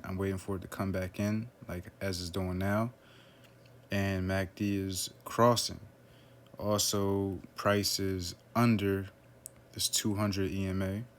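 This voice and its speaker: A man casually talking through a trading chart on screen, relaxed and loose, with lots of "um" and "right?" fillers. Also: slight background noise. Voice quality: low, flat voice. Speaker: forex trader analyzing a chart.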